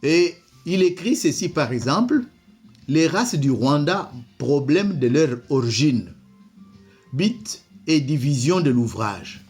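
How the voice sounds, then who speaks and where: A man's voice: fairly high pitch, very animated, slow, a little mellow, breathy edge, faint music behind. A man, not a native French speaker, giving commentary and reading from an old document, hesitant with many repeated words. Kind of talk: slow spoken commentary reading from a book.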